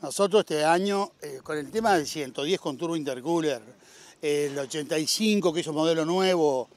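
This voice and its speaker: Upbeat Uruguayan farm machinery dealer. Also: male voice, a little high, moderate pace. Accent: Uruguayan Spanish. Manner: upbeat salesman, filler-heavy with frequent "este". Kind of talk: casual outdoor interview. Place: outdoor agricultural fair among tractors.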